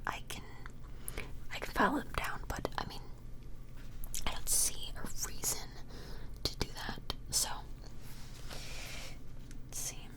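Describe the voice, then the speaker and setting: A woman doing an ASMR personal-attention role play in a gentle, hesitant, breathy whisper, very close to the microphone. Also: slow pace, long pauses, rough recording. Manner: gentle, hesitant, murmured admiring asides. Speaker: ASMR performer.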